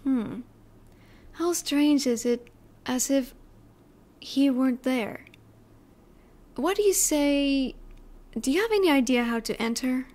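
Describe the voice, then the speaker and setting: A woman acting an obsessive, possessive scientist in an audio roleplay, coaxing the listener with drawn-out words and breathy drops toward a whisper. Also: high-pitched, lively intonation, darkish tone. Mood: feigned innocence.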